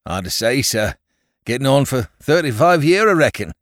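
A man's voice: mid-range voice, sing-song, normal speed, no echo, clean studio sound, voice pushed up, lightly acted. A man playing a chatty Cockney landlord. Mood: eager. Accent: London Cockney. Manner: chatty, eager, dropped grammar. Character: cheery Cockney male landlord, about fifty-five.

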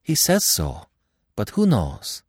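A man's voice: fairly low pitch, wildly swooping pitch, slow, darkish tone, slightly rough, dry recording, studio quality, pitched above the performer's own voice, exaggerated voice. A man voicing a passionate, emotional young Frenchwoman, speaking in a heated, dramatic way. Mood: scornful.

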